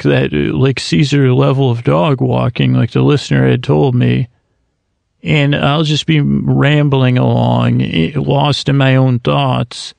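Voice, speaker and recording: A man hosting a sleep podcast, rambling in a meandering, soft-spoken bedtime monologue that keeps interrupting itself. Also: medium pitch, gravelly, dry recording.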